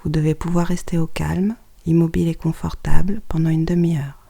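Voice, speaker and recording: Mellow, breathy relaxation guide. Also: medium pitch. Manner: calm, soft-spoken, breathy near-whisper, short instructions with pauses. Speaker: yoga nidra guide. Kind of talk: guided relaxation meditation, scripted instructions.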